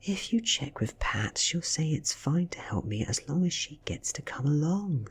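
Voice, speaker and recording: An ancient, aloof vampire woman with a British accent as a man reads her lines. The delivery is soft, very breathy and curt. It is gothic audiobook dialogue spoken in a cool, unbothered temper. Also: a little high, very animated, normal speed, in a whisper, pitched above the performer's own voice, exaggerated voice. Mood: cool, dismissive.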